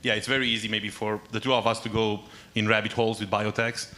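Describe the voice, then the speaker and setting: A man with a low, flat-toned voice chimes in on a live panel, talking fast. His tone is bright and forceful, and a faint ambient noise runs behind him. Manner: chimes in to add to the previous point.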